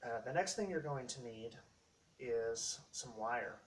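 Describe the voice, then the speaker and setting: A man hosting a casual how-to video, talking through equipment step by step in short, halting phrases. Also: mid-range voice, lively intonation. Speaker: host of a how-to tech video series. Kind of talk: casual unscripted product walkthrough. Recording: distant mic, faint background noise.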